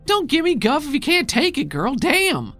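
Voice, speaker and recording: A man's voice: very high-pitched, wildly swooping pitch, normal speed, bright, forceful tone, faint music behind, pitched above the performer's own voice, exaggerated voice. A blustery winged companion from a tabletop game, acted out by a man. He is sardonic and mouthy, grumbling in a loose, casual way. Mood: indignant. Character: Jean, big-fingered winged male companion, blustery and sardonic.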